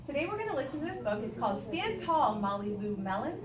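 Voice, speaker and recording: A woman's voice: high-pitched, sing-song, fast. A woman teacher reading aloud to young children, stopping to explain and put questions to them in an instructional way. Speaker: elementary school teacher. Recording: echoey room, distant mic, noisy background.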